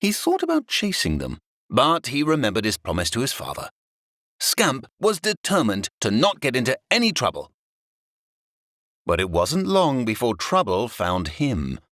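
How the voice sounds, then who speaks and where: A man reads a children's storybook at a middling pitch that swoops widely up and down. He tells it with drama and expression, in a very dry recording. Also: huge pitch swings, moderate pace, a bit bright, dry recording. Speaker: storybook narrator. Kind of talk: scripted children's story narration.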